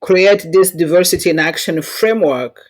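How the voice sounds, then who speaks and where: A woman's voice: fairly low pitch, moderate pace, darkish tone. A woman with a Rwandan accent giving conversational interview answers and anecdotes in an earnest, instructive way, with non-native phrasing. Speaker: interview guest, diversity consultant.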